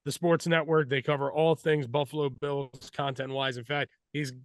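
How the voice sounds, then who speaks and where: Man, sports show host, high voice, crisp and resonant, dry close sound. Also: little pitch movement, moderate pace, no echo. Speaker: podcast host.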